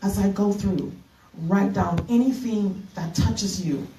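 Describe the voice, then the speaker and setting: A middle-aged woman from the Bahamas, giving an earnest retreat keynote as a minister, halting with fillers and restarts. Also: medium pitch, warm tone, a little hiss or hum. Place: conference room. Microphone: handheld mic. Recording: echoey room from a distance.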